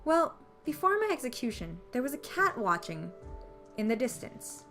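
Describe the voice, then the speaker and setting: A woman's voice: fairly high pitch, big pitch swings, moderate pace, a bit bright, voice pushed up. A woman voicing a hot-tempered, proud young witch girl who is secretly shy and kind, in a visual novel read aloud, with music. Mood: shy, explaining. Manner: indignant, arrogant, easily flustered.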